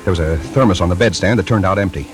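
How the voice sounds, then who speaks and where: An actor playing a young, wisecracking reporter in a breezy 1940s radio noir style. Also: male voice, deep voice, racing, loud music behind the voice, natural voice. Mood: wry, brooding. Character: young wisecracking male newspaper reporter narrating noir tale. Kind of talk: 1940s radio drama acting, hardboiled narration.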